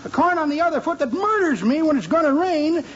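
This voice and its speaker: A man's voice: very high-pitched, lively intonation, brisk, fairly bright tone, a little hiss or hum. An actor playing a folksy old man who cackles at his own corny sayings in a 1950s radio comedy. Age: older. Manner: folksy, cackling, calls people son and Johnny. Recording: dull old radio transcription.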